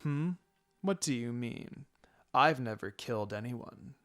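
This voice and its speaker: Casting: scheming old village elder for a story reading, played by a man, menacing, deliberate, coldly threatening. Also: medium pitch, expressive, fairly bright tone. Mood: cold, mocking. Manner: measured, menacing.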